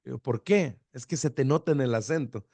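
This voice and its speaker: Salvadoran man, border accent, halting. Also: medium pitch, dry recording. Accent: Salvadoran-Guatemalan border Spanish. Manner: relaxed, hesitant with fillers and repeated words. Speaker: pastor, guest. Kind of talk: radio interview, conversational.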